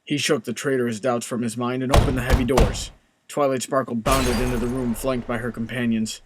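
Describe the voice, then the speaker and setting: A man's voice: medium pitch, flat delivery, brisk, background noise. A man narrating a scripted comedic story as the narrator between characters' lines.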